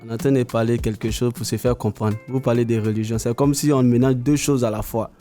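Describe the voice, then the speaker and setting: A man's voice: mid-range voice, fairly even intonation, fairly quick, over music. A young man from the Ivory Coast, a vocational student, asserting himself in a classroom debate. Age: young adult. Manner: assertive, moderating.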